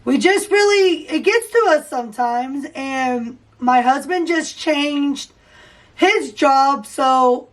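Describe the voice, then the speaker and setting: A woman with a hoarse, high voice hosts a casual podcast. She talks slowly and rambles with fillers and restarts. Her voice is picked up from a few feet back from the microphone. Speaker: podcast host. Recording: voice distant from the mic.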